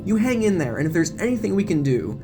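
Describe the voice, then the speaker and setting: A man's voice: high-pitched, sing-song, quick pace, music in the background, voice pushed up. A man voicing a young sorority woman in an audio drama, sugary, condescending and falsely sympathetic. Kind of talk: audio drama character acting.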